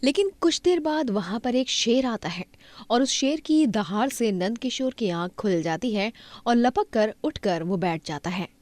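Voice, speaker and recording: A woman's voice: fairly high pitch, lively intonation, measured pace, darkish tone, dry recording. A woman reading scripted narration for a moral cartoon story as its storyteller.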